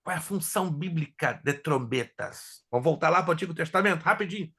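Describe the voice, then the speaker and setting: A man teaching a theology class as a pastor, whose first languages are Hebrew and Spanish, lecturing in an animated way and joking with his students. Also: on the high side, a little fast, husky. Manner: animated, jokes with students, asks if they follow.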